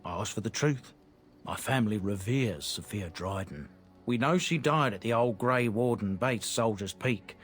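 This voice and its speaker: A man's voice: lowish voice, animated, moderate pace, soft background music, natural voice. A man voicing a proud, blunt merchant from a disgraced noble family in a video game. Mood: earnest. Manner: blunt, earnest, plain-spoken. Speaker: game character.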